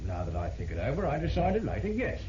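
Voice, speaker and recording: An actor playing a despondent, deferential deserter on the witness stand in an old-time radio drama. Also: male voice, medium pitch, huge pitch swings, quick pace, music in the background. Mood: hesitant, subdued. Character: despondent male deserter on trial for murder, meek witness. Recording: dull, noisy, echoey vintage radio recording.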